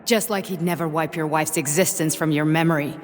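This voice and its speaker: A woman's voice: sits low, steady tone, a little fast, long reverb tail, natural voice. An actress playing a blunt, cynical woman hacker with a dry delivery. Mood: cold.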